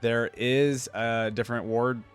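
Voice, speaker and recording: A woman's voice: a bass voice, fairly even intonation, unhurried, music in the background. A woman hosting a reaction video with casual, informal commentary. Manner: informal, echoes phrases back.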